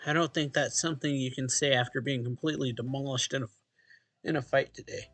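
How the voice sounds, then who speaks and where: A man's voice: mid-range voice, fairly even intonation, fast talker, fairly bright tone, faint music behind. A man hosting a let's play, reading a visual novel's narration and dialogue aloud and casually acting out the lines.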